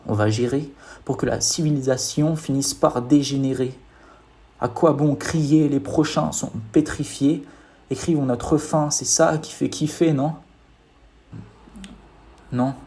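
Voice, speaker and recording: A man's voice: on the high side, warm tone. A man reciting his own poem as spoken word, in a rhythmic, declamatory manner.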